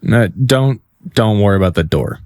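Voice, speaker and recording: An actor plays a stern, weary policeman running an interrogation. His voice sits low and his manner is firm and terse. The audio drama scene is recorded dry, with very little room sound. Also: animated, normal speed, darkish tone, no room sound, lightly acted. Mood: stern, impatient.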